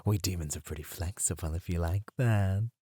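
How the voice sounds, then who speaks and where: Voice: sits low, warm tone, slightly rough, no room sound, studio quality. An actor voicing a suave, dominant demon who flirts and teases in an ASMR roleplay. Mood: sly, seductive. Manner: slow, purring, teasing delivery.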